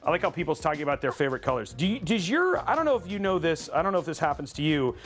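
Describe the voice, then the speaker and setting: A man's voice: on the high side, very animated, racing, a bit bright, soft background music. A man hosting a live stream, chatting casually and rambling upbeat with lots of fillers and restarts. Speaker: host of a live PC-building stream.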